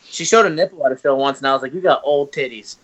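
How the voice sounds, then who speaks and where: A man with a high-pitched, light voice, a guest musician in a casual podcast chat, joking profanely and mocking himself. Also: fast talker, a bit bright, low-quality recording.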